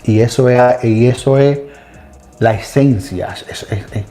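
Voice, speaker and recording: A middle-aged man in a casual religious discussion with a dark, mellow voice. He speaks emphatically and turns to address the audience directly. Soft music plays faintly behind him. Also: medium pitch, a little slow. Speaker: co-host of a Bible study channel.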